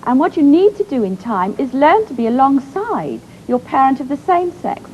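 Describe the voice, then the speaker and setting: A woman expert guest explains her view calmly and analytically, her voice fairly high. The TV recording is dull and lo-fi, with a faint rumble behind her. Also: moderate pace. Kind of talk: explanatory commentary.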